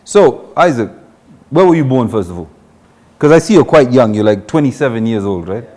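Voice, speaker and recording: A man's voice: mid-range voice, animated, fairly quick, a little mellow. A man hosting a conversational talk show interview, informal, asking follow-up questions and dropping in encouraging interjections.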